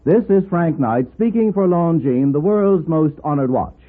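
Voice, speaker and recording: A man's voice: a little high, expressive, moderate pace. A man reading a formal 1950s radio advertisement with polished, stately salesmanship. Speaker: commercial announcer. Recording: muffled, narrow old radio recording.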